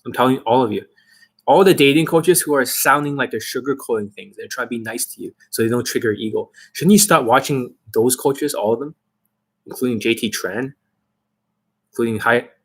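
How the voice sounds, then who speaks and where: A man's voice: mid-range voice, normal speed, pauses often, breathy edge. A young man and dating coach delivering a blunt, swearing monologue full of rhetorical questions to his viewers. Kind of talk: ranting monologue to camera.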